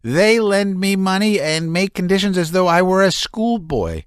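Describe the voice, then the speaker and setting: Overwrought, stammering young man, voiced by a male reader: high, shrill, swinging pitch. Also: lively intonation, unhurried, smooth, no room sound, studio quality. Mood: agitated. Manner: shrill, stammering, near-shouting.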